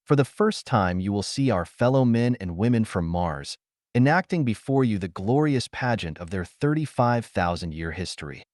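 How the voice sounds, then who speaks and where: Pompous, excitable showman boss played by a male narrator, grandiose and swooping, dry pulp audiobook sound. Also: fairly low pitch, normal speed, no echo. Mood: grand, solemn. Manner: sonorous, dignified, grandiose announcing.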